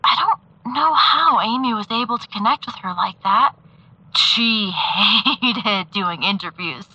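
A wistful, thoughtful woman in a naturalistic audio drama. She speaks slowly and haltingly, with a bright voice, in a slightly dull recording. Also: mid-range voice, breathy edge, heavily acted. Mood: wistful, subdued. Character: reflective, warmly wistful woman missing an old friend.

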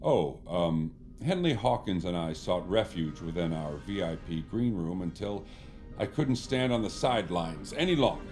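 Smarmy, deep-voiced celebrity. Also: male voice, expressive, moderate pace, darkish tone, faint music behind. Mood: smug, evasive. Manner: pompous, evasive, grandiose. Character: smarmy, theatrical celebrity actor posing as a savior. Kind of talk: audio drama acting.

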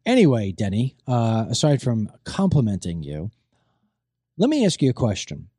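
Middle-aged host with a strained voice. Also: male voice, mid-range voice, wildly swooping pitch, moderate pace, mellow, husky, no room sound, studio quality. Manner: chatty, rambling, repeats himself. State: strained voice, recovering from voice loss. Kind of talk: casual talk show chat.

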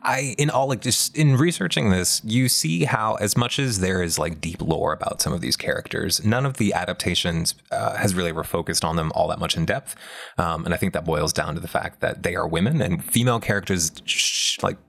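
A man's voice: sits low, a little fast, vocal fry, fairly bright tone. A man co-hosting a casual discussion, giving candid, wry opinions in a conversational way. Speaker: podcast co-host.

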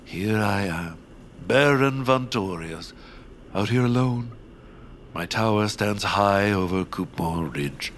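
Pompous, wildly expressive villain baron. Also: male voice, fairly low pitch, slow, a little hiss or hum. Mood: grandiose menace. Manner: pompous, grandiose, self-dramatizing. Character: pompous scheming villain baron, theatrical and fussy. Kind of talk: audio fiction cartoon-like villain acting.

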